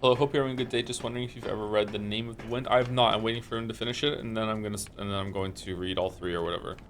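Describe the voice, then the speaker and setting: A man with a slightly croaky voice streaming a video game, thinking aloud and correcting himself as he reads game text and chats. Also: on the low side, fairly even intonation, rapid-fire, a bit bright, a little hiss or hum. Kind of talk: casual gameplay commentary, reading game text aloud.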